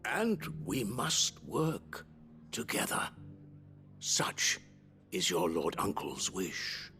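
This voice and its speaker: A discreet butler from a fantasy game. He speaks slowly in a fairly low voice that rises and falls expressively, with faint music behind. His manner is polished, formal and theatrical. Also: very animated, gravelly, pushed and bright, full character voice. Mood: grave, urgent. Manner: formal, deliberate, dramatic pauses. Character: refined, discreet male butler, measured and theatrical. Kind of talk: video game voice acting.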